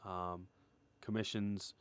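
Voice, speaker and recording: A man giving a casual, unscripted creator update, rambling and excitable, starting and stopping his phrases as he goes. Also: low-pitched, slow, smooth, dry recording. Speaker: animator and web series creator giving an update.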